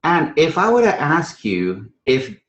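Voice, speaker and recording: Animated male math teacher, echoey room. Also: fairly high pitch, unhurried, highs cut off. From Trinidad and Venezuela. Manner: conversational and emphatic, with rhetorical questions and frequent check-in tags. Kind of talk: live instructional presentation to teachers.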